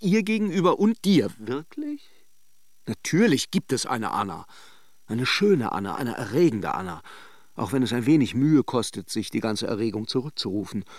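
An actor playing a brooding, introspective lover in a radio drama, speaking measured, self-questioning lines. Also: male voice, lowish voice, no room sound, studio quality. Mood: self-reproaching, agitated. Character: brooding male narrator-lover arguing with his own doubts. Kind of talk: literary radio drama monologue.

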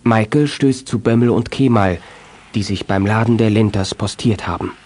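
An actor narrating a children's detective radio play as a composed storyteller. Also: male voice, on the low side, normal speed, a little mellow, a little hiss or hum, natural voice. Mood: calm narrating. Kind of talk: scripted children's audio drama narration.

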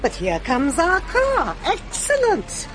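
A woman's voice: very high voice, wildly swooping pitch, some chatter behind, full character voice, poor audio. Pompous, swooping posh English lady. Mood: brisk, pompous. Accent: upper-class English. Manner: pompous, brisk, commanding. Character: bossy, self-important middle-aged English lady politician. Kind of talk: radio drama acting.

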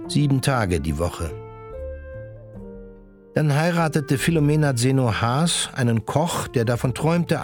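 A man's voice: mid-range voice, a little mellow. A man reading scripted narration as the storyteller of a German radio drama. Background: music under the voice.

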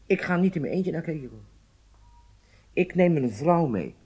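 A man's voice: fairly high pitch, animated, measured pace, dark tone, breathy edge. An actor playing a charming, self-assured agent in his late thirties, speaking with casual nonchalance in a radio drama. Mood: pleased, insistent. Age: 30s.

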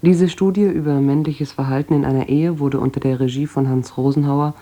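A man with a dark, mellow voice of medium pitch serves as a radio drama announcer. He reads scripted credits in a formal style. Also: steady tone, a little fast.

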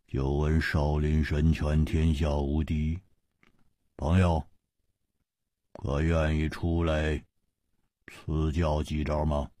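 A man's voice: very deep voice, little pitch movement, slow pace, pauses often, dark tone, dry recording, studio quality, voice pushed down, exaggerated voice. A man voicing a sinister swordsman with a weeping face who speaks in a cold, eerie, half-crying way. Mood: menacing.

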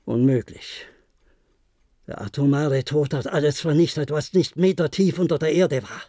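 An actor in a German radio play, playing an older, resigned former diplomat who reminisces in a measured, formal way. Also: male voice, a little high, fairly even intonation, dark tone, dry recording, studio quality, lightly acted. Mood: grave. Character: resigned, reflective former diplomat, aging bunker survivor.

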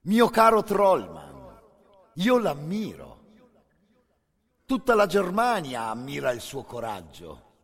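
An actor playing a pompous, menacingly courteous German boxing official of the Nazi era in a theatrical radio monologue, with drawn-out emphatic delivery. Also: male voice, on the high side, huge pitch swings, fairly bright tone, heavily acted. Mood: unctuous flattery. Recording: long hall reverb.

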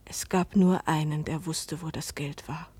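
A woman's voice: sits low, fairly even intonation, measured pace, warm tone, husky, no echo. An actress playing a middle-aged mother who tells her life story in a restrained, wistful, intimate way in a radio drama. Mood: wistful, subdued. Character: weary, hopeful Italian mother narrating her life's disappointments. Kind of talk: radio drama first-person narration and dialogue.

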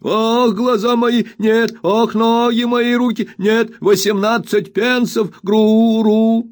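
A shrill, whining, crazed old junk dealer. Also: male voice, little pitch movement, slow. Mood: shrill haggling. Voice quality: whining sing-song, chanting. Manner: shrill cries, croaking rattling exclamations. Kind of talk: audiobook character voice.

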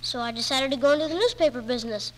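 A woman's voice: high voice, rapid-fire, a bit bright. A woman playing a scrappy, loyal young newsboy with slangy, streetwise talk in a 1940s radio drama. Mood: earnest, chatty. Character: scrappy, loyal young boy selling newspapers. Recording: noisy old radio broadcast, hall reverb.

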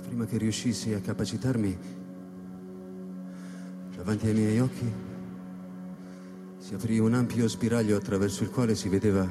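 Low, reverberant male actor as a solitary astronaut narrator. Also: fairly even intonation, moderate pace, pauses often, natural voice. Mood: grave, tense. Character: solitary male astronaut recounting his arrival in space. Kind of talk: literary sci-fi dramatic narration. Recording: long hall reverb over live electronic music. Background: electronic music.

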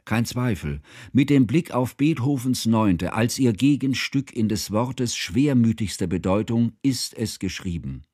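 Solemn learned narrator, close and dry. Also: male voice, medium pitch, animated, a little mellow, dry recording. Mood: mournful. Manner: solemn, measured, ornate literary phrasing. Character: grieving, learned, formal older male narrator remembering his friend. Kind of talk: literary radio drama narration.

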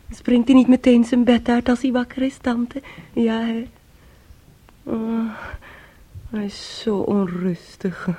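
Breathy, dark-voiced young bride-to-be. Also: female voice, fairly high pitch. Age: young adult. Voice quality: breathy, often near a whisper. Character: anxious, tender young bride-to-be, niece of the house. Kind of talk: Dutch-language radio play acting.

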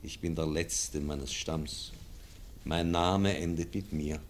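A man's voice: a bass voice, steady tone, slow, slight background noise. An actor playing an old, dying nobleman who solemnly pleads with his nephew, in a classic radio play. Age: older. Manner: solemn, pleading, swings from loud to hushed.

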